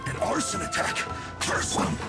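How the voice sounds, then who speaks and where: A man voicing an aggressive enemy soldier shouting to rally comrades in video game combat. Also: moderate pace, natural voice. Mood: angry, urgent. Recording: loud game music and battle crashes behind.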